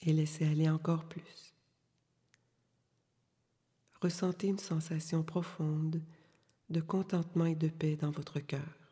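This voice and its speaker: Slow, breathy woman guiding deep relaxation. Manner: calm, soothing, unhurried instructions with long pauses. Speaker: yoga nidra meditation guide. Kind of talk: guided relaxation meditation. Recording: long reverberant hall-like echo.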